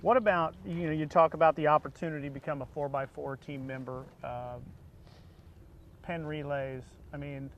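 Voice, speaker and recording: Middle-aged male interviewer, slightly high pitch, conversational and halting, noisy recording. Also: steady tone, highs cut off. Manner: rambling, halting questions. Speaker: interviewer, faculty member and former football coach. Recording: clear background noise.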